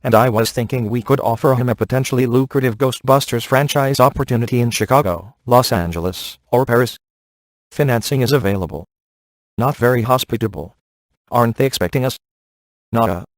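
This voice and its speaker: A sardonic male ghost hunter from a video game, voiced by a man, in a middle-pitched voice. He is cocky and laid-back, tossing off deadpan, sarcastic remarks in a very dry recording. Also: fairly quick, no echo, natural voice. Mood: dry, wisecracking.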